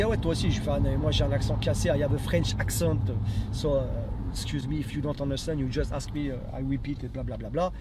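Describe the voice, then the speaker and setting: A man tells a story in loose, animated conversation, stumbling through fillers and restarts. His voice sits a little high for a man. Street traffic rumbles clearly behind him. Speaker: vlogger telling a dating story. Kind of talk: casual storytelling chat.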